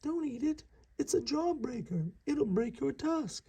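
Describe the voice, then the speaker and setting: A man voicing a tiny, anxious male mouse sidekick with a squeaky voice in a read-aloud picture book. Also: animated, a little slow, mellow, heavily acted. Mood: alarmed.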